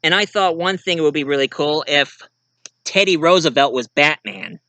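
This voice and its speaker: Bright-voiced man with halting delivery. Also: a little high, lively intonation, no echo. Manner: halting, searching for words. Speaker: video reviewer and host of the channel. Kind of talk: casual talk to viewers about own writing.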